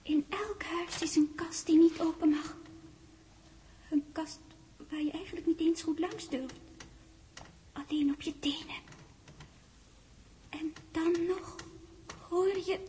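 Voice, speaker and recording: An actress playing a gentle, eerie young woman in a 1960s Dutch radio play, hushed and dreamy, often slipping into a whisper. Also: extremely high pitch, fairly even intonation, slow pace, pauses often, a little hiss or hum. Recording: 1961 radio recording, music behind.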